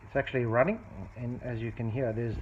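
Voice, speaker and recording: A man narrating a casual hands-on repair demonstration as a hobbyist, explaining things in a matter-of-fact, unhurried way. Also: mid-range voice, steady tone. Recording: dull lo-fi phone-video sound, faint noise.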